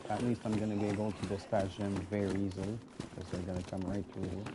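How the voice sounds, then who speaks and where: A man's voice: fairly low pitch, monotone, quick pace, noisy background. A man with a French Canadian accent, a native French speaker, giving rambling casual gameplay commentary and addressing his viewers directly. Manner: chatty and rambling, often restarting words, talks straight to viewers. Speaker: gaming video host.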